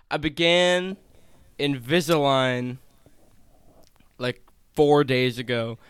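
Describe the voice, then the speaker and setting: A twenty-year-old man with a pronounced lisp, chatting casually and swearing freely as a podcast host, in an animated, self-deprecating way. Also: medium pitch, drawn-out words, long pauses, clear, resonant tone, no room sound. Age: 20, turning 21 soon. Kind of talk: casual chat.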